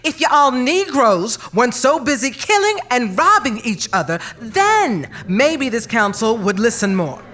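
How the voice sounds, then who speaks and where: A woman's voice: on the high side, very animated, unhurried, hardly any pauses, fairly bright tone, heavily acted. A woman playing a scornful, imperious city council official, stern and dismissive, in an audio drama.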